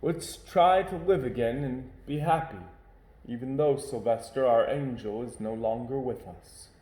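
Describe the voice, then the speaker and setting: A sad father donkey trying to stay hopeful, as a man voices him in a storybook, gently coaxing in a mellow, warm tone. The reading carries a roomy echo. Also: medium pitch, unhurried, close to an everyday voice. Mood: sorrowful. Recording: echoey room.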